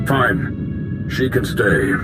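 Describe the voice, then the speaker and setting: A man's voice: lowish voice, big pitch swings, drawn-out words, over music, full character voice. A man playing a stern, proper Jedi archivist in a video game, with a formal, blunt delivery. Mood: curt, dutiful. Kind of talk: video game dramatic acting.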